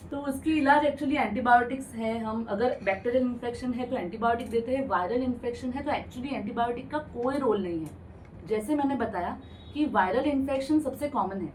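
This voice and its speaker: A woman physician with a fairly high voice speaks calmly and plainly, mixing English medical words into her speech. She sounds a bit distant from the microphone. A faint hiss sits behind her. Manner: explains patiently, mixes English medical terms into Hindi. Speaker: ENT doctor guest. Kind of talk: radio health interview, explaining plainly. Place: radio studio.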